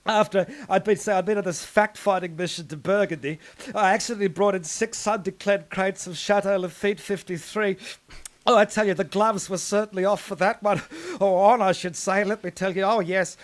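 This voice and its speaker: A man's voice: high-pitched, lightly acted. A man playing a self-satisfied, decadent politician in a satirical radio monologue, pompous, rambling and leering.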